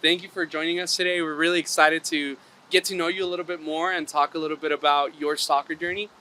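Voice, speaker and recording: A man's voice: a little high, flat delivery, fast talker, sharp tone, slight background noise. A man hosting a podcast, asking questions in an upbeat, chatty way. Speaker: podcast host. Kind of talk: casual interview hosting.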